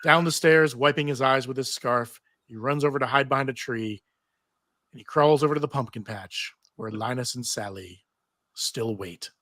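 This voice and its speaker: A man's voice: mid-range voice, moderate pace, sharp tone, dry recording. A man narrating stage directions at a live table read.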